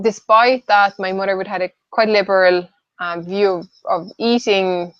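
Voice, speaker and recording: A woman in her late thirties from southern Sweden, co-hosting a webinar as a nutritional therapist and speaking casually with frequent ums and pauses. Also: on the low side, no echo. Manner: hesitant, many ums and "I suppose". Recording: dull, dry lo-fi audio.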